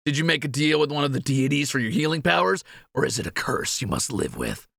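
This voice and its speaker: A man's voice: a little high, big pitch swings, fast talker, gravelly, fairly bright tone, no echo, studio quality, exaggerated voice. A man playing a greedy, cheeky young thief in a fantasy audio roleplay, teasing and flippant. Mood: playful.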